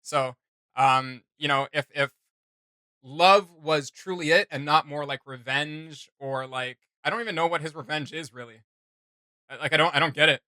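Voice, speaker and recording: A man with a very bright, forceful voice, pitched a bit high. He hosts a casual reaction chat and gives his opinions bluntly and emphatically, in a dry, close recording. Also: moderate pace, dry recording. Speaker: reaction video host.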